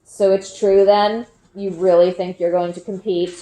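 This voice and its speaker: A woman's voice: medium pitch, level tone, a little slow, warm tone, reverberant, lightly acted, rough recording. A woman voicing a brusque, dismissive fourteen-year-old village boy. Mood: scornful.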